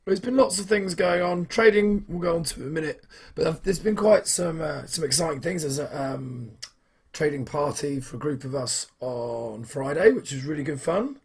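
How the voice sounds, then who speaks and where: A young man with a husky, hoarse voice, chatting casually in a weekly trading vlog, rambling with many fillers and restarts. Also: fairly high pitch, lively intonation, moderate pace, a little mellow. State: hoarse from shouting at football. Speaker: trading vlogger.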